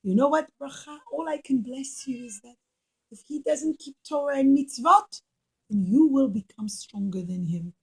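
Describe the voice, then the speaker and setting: A woman voicing an earnest, gentle old father in a children's puppet show, speaking in simple, emphatic phrases. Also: sits high, animated, moderate pace, rough recording. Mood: solemn.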